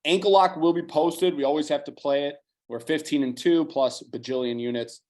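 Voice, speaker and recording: A slightly hoarse man co-hosting a podcast, talking bets in a fast, analytical, casual way with the odd swear. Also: on the high side, steady tone, darkish tone. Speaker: podcast co-host. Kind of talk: casual betting chat.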